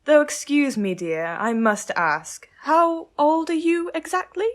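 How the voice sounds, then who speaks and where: A woman's voice: high voice, sing-song. A woman playing a plummy English lady of the manor. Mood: gracious. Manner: plummy, affected, drawn-out. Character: posh genteel lady of the manor, fussy. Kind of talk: student radio play acting.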